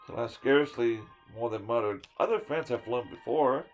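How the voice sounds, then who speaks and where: A man giving a dramatic reading of a poem, swinging from loud declaiming to hushed whispers and sometimes tripping over a word. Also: medium pitch, normal speed, soft background music. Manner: stumbles and restarts words now and then. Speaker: storyteller reading a poem aloud.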